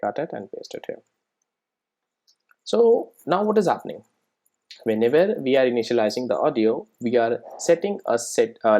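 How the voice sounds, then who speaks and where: A tutorial instructor with a medium-pitched voice explains code step by step. The delivery is informal and instructional, with frequent little check-in questions to the learner. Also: warm tone. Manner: informal, frequent check-in tags like "right?" and "make sense?". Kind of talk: screen-recorded coding tutorial, explaining step by step.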